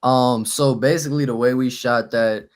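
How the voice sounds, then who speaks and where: A young man from New Orleans with a raspy voice, a rapper telling stories as a guest on a podcast in an easy, relaxed way. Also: medium pitch, moderate pace. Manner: relaxed storytelling with fillers. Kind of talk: casual podcast interview.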